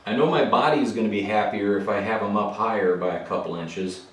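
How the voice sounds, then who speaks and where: Middle-aged man, relaxed rambling workshop talk, recorded off a camera mic across a roomy garage. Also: lowish voice, a little fast, recorded from a distance. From Minnesota, USA. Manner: relaxed, musing, thinks aloud with fillers. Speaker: motorcycle builder and channel host. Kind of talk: casual workshop vlog. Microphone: camera mic, moving around the room.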